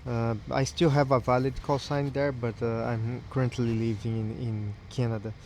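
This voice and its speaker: A man, in Portuguese, makes a casual ham radio contact. His mid-pitched voice is courteous and complimentary. He is outdoors on a rooftop, with some noise in the recording. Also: flat delivery, normal speed, a little mellow. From Brazil. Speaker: amateur radio operator.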